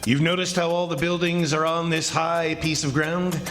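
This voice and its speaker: A man playing a smug, confident and domineering plantation owner in a 1940s-style radio drama, with a brisk, commanding manner. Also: on the high side, level tone, fairly bright tone, lightly acted. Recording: noisy stage recording with clicks.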